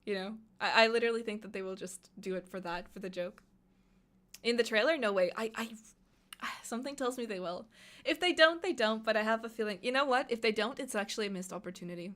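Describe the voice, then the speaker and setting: A young woman, a native Russian speaker, chatting excitedly on a live stream and reading out chat questions. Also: on the high side, quick pace. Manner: chatty, excitable, thinks aloud with fillers.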